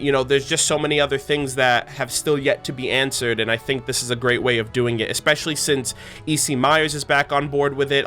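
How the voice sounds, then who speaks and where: A man's voice: mid-range voice, sharp tone. A man giving casual, enthusiastic, rambling fan commentary as a channel host. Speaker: host of a fan channel about an animated series.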